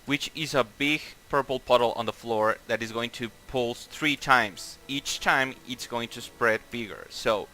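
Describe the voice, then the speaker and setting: A man's voice: mid-range voice, measured pace, hardly any pauses, bright, forceful tone. A man with a Mexican accent leading a game raid over voice chat, explaining boss tactics casually with swearing and lots of fillers. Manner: casual, profane, many fillers and restarts. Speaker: raid leader.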